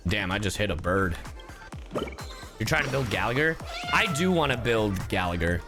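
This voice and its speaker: A man's voice: lowish voice, animated, normal speed, over music. A man streaming casual live reactions to a game, joking and slangy. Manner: joking, slangy, breaks into humming and catchphrases.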